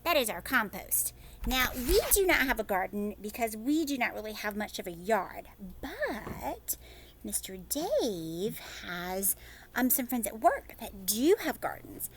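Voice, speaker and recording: A woman with a fairly high voice speaks as a teacher to young children. She moves between reading a picture book aloud and chatty asides, keeping her wording simple and often tagging on short check-in questions. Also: very animated, unhurried, background noise.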